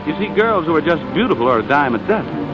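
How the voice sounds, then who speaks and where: A dull-sounding 1940s radio recording with music behind it. A brash American producer talks in a very animated, mid-pitched male voice, full of wisecracks and schemes. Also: fast. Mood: breezy. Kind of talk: 1940s radio comedy acting. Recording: muffled old radio broadcast, background music.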